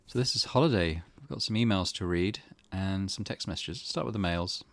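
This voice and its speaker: A man's voice: low voice, lively intonation, brisk, a bit bright, no echo. A man hosting a let's play, reading game text aloud and commenting on it in a thoughtful, musing way.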